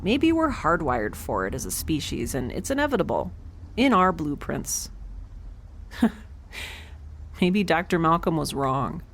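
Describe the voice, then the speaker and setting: A quirky young scientist on a spaceship in an audio drama. She is chatty and self-deprecating, with a slightly low voice that swings expressively. Her dry sarcasm spills out in nervous rambles over light background noise. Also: very animated, a little slow, long pauses. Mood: wry, tense. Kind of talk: conversational audio drama acting.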